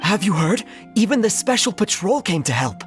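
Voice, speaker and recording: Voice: medium pitch, big pitch swings, moderate pace, soft background music, natural voice. An actor playing an eager, gossiping townsperson in a video game. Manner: eager, rallying.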